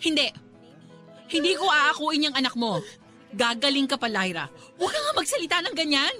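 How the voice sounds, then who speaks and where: A woman's voice: high voice, very animated, fairly quick, bright, forceful tone, soft background music, full character voice. A woman acting in a Tagalog radio drama as an exhausted, exasperated friend who pleads and scolds with emotional intensity. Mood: gentle, firm.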